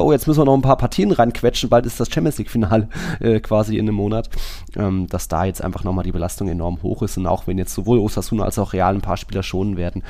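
A man's voice: low voice, darkish tone. A man hosting a podcast, talking casually about football in a chatty, rambling way.

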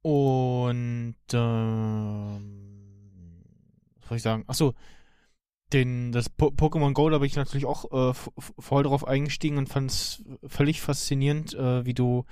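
A man talking casually as a podcast co-host. His delivery is halting, with repeated words as he looks for the next one. The sound is dry, with very little room on it. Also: mid-range voice, steady tone, dark tone, clear, resonant tone, dry recording. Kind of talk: casual chat about animated series and games.

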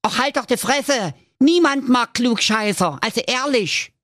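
Young goat kid, high squeaky pitch, agitated, cheeky, slightly raspy, lively swoops, comic storybook. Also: male voice, measured pace, dry recording, clean studio sound, full character voice. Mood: annoyed. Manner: squeaky, agitated, foul-mouthed. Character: anxious, cheeky, squabbling little goat kids. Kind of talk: comedic fairy-tale storytelling.

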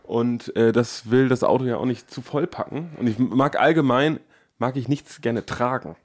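A man's voice: medium pitch, normal speed. A man chatting casually as a podcast co-host, drily humorous and often repeating his phrases. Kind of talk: casual joking chat.

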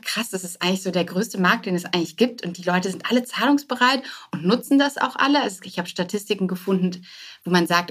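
Female founder, 30s, podcast guest: average pitch, informal storytelling peppered with English startup jargon. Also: fairly bright tone. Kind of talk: casual conversational storytelling.

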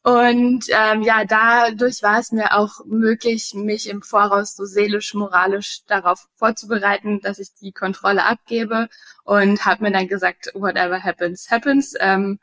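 Relaxed woman guest from Berlin. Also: mid-range voice, unhurried. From Berlin, Germany. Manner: relaxed, with many fillers and light laughs. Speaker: guest, wedding agency owner. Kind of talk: podcast interview.

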